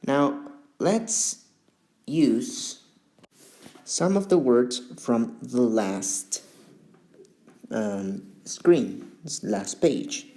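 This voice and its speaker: A man teaching a beginner English lesson, speaking slowly and deliberately with long pauses between words. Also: medium pitch, very animated, warm tone.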